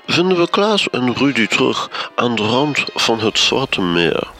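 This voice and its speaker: An actor narrating a gothic comedy radio serial as a solemn, theatrical storyteller. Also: male voice, medium pitch, sing-song, a little slow, pushed and bright, slight background noise, full character voice. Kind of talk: dramatic scripted narration.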